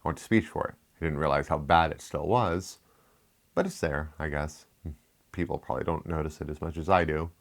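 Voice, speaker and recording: A man with a noticeably low voice and a lisp talks through a casual product review. He rambles freely and drifts into side remarks as he goes. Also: a little fast. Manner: chatty, rambling, with asides. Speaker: tech reviewer, channel host.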